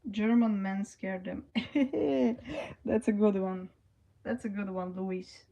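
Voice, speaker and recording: Young woman on a live stream, slow and halting, lots of ums, muffled lo-fi audio. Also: mid-range voice, gravelly, warm tone. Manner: hesitant, many fillers. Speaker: livestreamer. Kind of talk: live stream, reading and answering chat.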